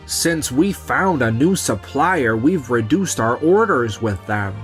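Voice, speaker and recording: The self-assured salaryman in an animated office romcom , acted by a man, making his case slowly with big rises and falls. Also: medium pitch, faint music behind. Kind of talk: scripted manga dub acting.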